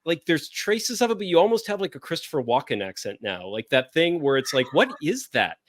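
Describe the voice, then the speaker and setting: A man hosting a casual chat, enthusiastic and agreeable, quick to chime in. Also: a little high, big pitch swings. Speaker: podcast host.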